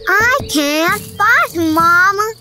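Actor playing young child, anxious, very high, slow drawn-out delivery, audio drama. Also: expressive, over music, exaggerated voice. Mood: worried calling. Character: anxious young child searching for a missing father.

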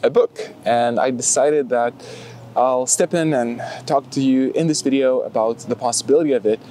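A man's voice: mid-range voice, moderate pace, mellow, soft background music. A young man with a Lithuanian accent, chatting to the camera outdoors on a grassy field, with lots of fillers and restarts. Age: young adult. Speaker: martial arts vlogger. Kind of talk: casual talk to camera. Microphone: camera close in front.

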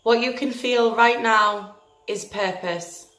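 A woman leading a guided meditation in a gentle, soothing, deliberate manner, leaving long pauses between phrases. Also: on the high side. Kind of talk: guided meditation, scripted narration. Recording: roomy echo with faint music behind.

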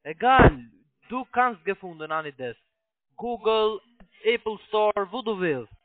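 A man who is not a native speaker, giving instructions on a phone call in halting, broken sentences as a call centre agent. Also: high-pitched, very animated, no echo. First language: not German. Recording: tinny narrowband phone line.

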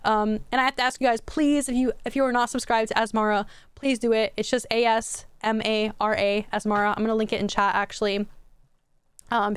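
A young woman streamer talks into a boom-arm mic at home, her higher voice smooth and crisp despite getting tired from a long stream. She rambles chattily, restarting sentences as she goes, and the sound is dry and close. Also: no room sound. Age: young adult. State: voice going hoarse from a long stream. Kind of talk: casual stream commentary. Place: home streaming room, indoors. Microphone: studio mic on a boom arm.

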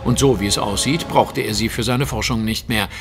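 An actor playing a witty, self-assured detective in an audio drama, speaking precisely and with dry irony. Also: male voice, fairly low pitch, moderate pace, over music, close to an everyday voice. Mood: brisk, commanding. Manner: precise, dryly ironic. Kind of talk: German audio drama acting.

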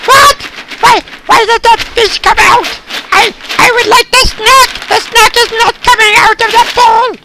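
Squeaky, frantic hungry cartoon dog. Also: fairly even intonation, a little slow. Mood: frustrated. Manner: frantic, repetitive, pleading exclamations. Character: excitable, hungry, impatient pet dog. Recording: noisy recording with loud clicks.

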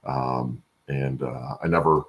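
A middle-aged American man with a gravelly voice, chatting as an interview guest and often checking in with "right?". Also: extremely low pitch, steady tone, takes it slowly. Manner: tags phrases with "right?". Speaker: guest, supply chain professional. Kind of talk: casual conversational interview. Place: home room, on video call. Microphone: video call.

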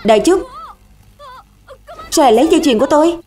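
Earnest, high-voiced young boy hero played by a woman. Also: expressive, fast talker, dark tone. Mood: bewildered. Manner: earnest, naive, questioning. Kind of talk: animated cartoon dub.

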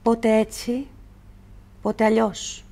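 A woman reciting literary poetry in a measured, deliberate way, with pauses between phrases. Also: fairly high pitch, level tone, creaky, mellow. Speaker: poetry reader.

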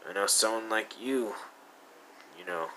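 A man's voice: lowish voice, unhurried, pushed and bright, slight background noise, low-quality recording. A man voicing a brooding young male villain with blue fire, muttering and sometimes whispering in a fan audio roleplay.

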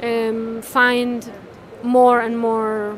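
A woman, talking haltingly and thoughtfully about her artwork, often tagging phrases with "no?". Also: a little high, completely flat delivery. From Mexico. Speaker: artist. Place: large indoor art fair hall. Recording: crowd chatter in a busy hall.

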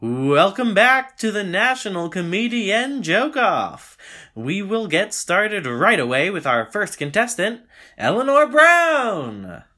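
A man's voice: sits high, sing-song, slow, sharp tone, exaggerated voice. A man playing a slick TV contest host in an audio drama, with grand announcer delivery. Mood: showy, upbeat. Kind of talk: audio drama acting as game-show announcer.

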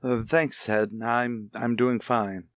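A man playing a troubled, apologetic family friend in a radio play, speaking haltingly. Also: medium pitch, steady tone, no echo, studio quality, lightly acted. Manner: halting, hesitant.

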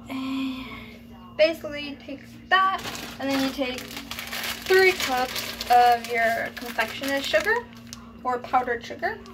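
A woman's voice: high voice, slow. A teenage girl filming a casual cooking demonstration in a small home kitchen on a phone, chatty and hesitant, often trailing off mid-sentence. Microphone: phone camera on the counter. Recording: home video with background noise.

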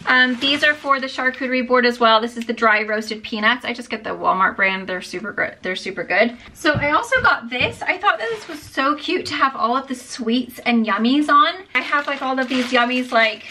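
Upbeat mom-ish woman rambling thru a grocery haul in her kitchen, crisp bright voice, faint hum behind. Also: a little high. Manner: chatty, upbeat, frequent "like" and "um". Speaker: lifestyle vlogger. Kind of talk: casual haul vlog. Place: home kitchen, indoors.

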